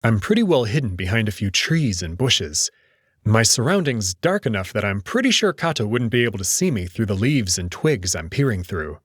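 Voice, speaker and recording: A man's voice: on the low side, sing-song, normal speed, breathy edge, dry recording, clean studio sound. A man reading scripted first-person story narration in a dramatic style, as the narrator.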